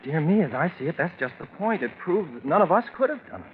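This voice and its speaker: An actor playing a fussy, timid, flustered gentleman, prissy and fretful, in 1940s radio style. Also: fairly high pitch, sing-song, fast talker. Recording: scratchy, muffled 1940s radio recording.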